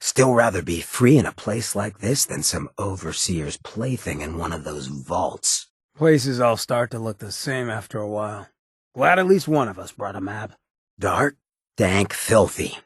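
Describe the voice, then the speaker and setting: Cocky ghoul rogue, video game character, American accent, heavily rasped, lowish pitch, streetwise drawl. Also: male voice, very animated, a little slow, dry recording, clean studio sound, heavily acted. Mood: wry, musing. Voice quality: raspy, gravelly. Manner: cocky, streetwise drawl with clipped slangy phrasing. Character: roguish, swaggering ghoul leader, irradiated zombie-like man.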